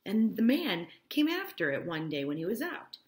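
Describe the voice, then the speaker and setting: A woman's voice: medium pitch, big pitch swings, moderate pace, pitched above the performer's own voice, close to an everyday voice. A woman voicing a brash, scornful married woman in a novel read-aloud, with an emphatic, indignant way of talking. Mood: indignant, aggrieved. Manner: emphatic, incredulous, declaring.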